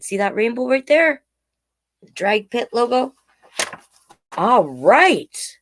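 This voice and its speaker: Woman, children's storytime host, slow pace, very lively intonation, playful, encouraging, talks straight to the kids. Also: lowish voice. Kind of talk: animated picture-book read-aloud with chatty asides to young viewers.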